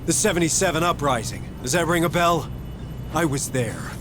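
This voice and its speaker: A man playing a gruff, impatient marine sergeant and worried father in a video game, blunt and commanding. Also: on the high side, expressive, normal speed, music in the background, natural voice. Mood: bitter accusation. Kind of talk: video game cutscene acting.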